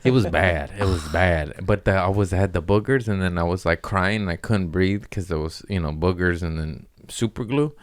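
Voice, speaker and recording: A man's voice: low-pitched, moderate pace, few pauses. An American man in his thirties chatting casually on a comedy podcast in a loose, slangy, rambling way, joking as he goes.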